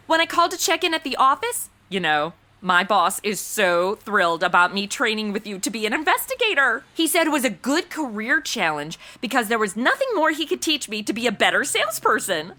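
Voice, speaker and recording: A woman's voice: fairly high pitch, sing-song, moderate pace, sharp tone. An American woman playing a chatty, flippant modern rookie investigator in a comedy audio drama, breezy and casual.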